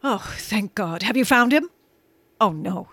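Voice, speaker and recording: A woman's voice: medium pitch, expressive, a little slow, a bit bright. An actress playing an anxious mother in a radio drama. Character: anxious mother awaiting news of a missing man.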